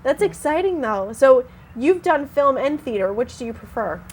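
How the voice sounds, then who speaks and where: A woman's voice: high-pitched, lively intonation, normal speed, breathy edge, poor audio. A woman hosting a talk show, asking guests questions in a casual, encouraging way and laughing along with them. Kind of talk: casual interview chat.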